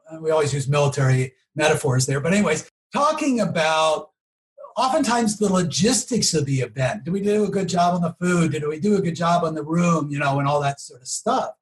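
Male interview guest, slightly high voice, conversational explainer who tags points with rhetorical questions. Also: low-quality recording. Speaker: marketing expert guest.

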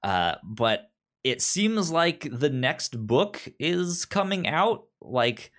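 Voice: mid-range voice, sing-song, slow pace, fairly bright tone. A podcast co-host casually discussing books in a hesitant, stammering way.

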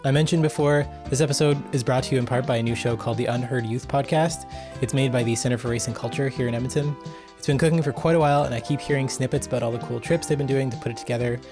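Quick-talking podcast host. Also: male voice, medium pitch. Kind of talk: reading end credits. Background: guitar music.

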